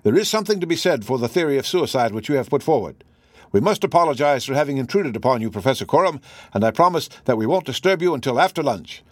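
A shrewd gentleman detective played by a male audiobook reader speaks with brisk assurance and courtesy. His voice sits at a medium pitch, recorded dry and close. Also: animated, no echo, natural voice. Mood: polite, measured. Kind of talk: audiobook character voice.

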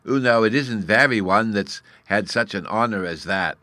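A man's voice: mid-range voice, normal speed, bright, forceful tone, no room sound, pitched above the performer's own voice, natural voice. Man voicing a little boy fairy, shift+ baby talk. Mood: grave, proud. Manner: childish baby talk, mispronouncing words. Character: tiny earnest little boy fairy, scornful and proud. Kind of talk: storybook character reading.

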